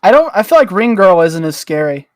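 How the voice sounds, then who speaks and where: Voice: medium pitch, sing-song, brisk, no echo. Insistent casual podcast talker with a dry close sound. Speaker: podcast panellist. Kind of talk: casual chat.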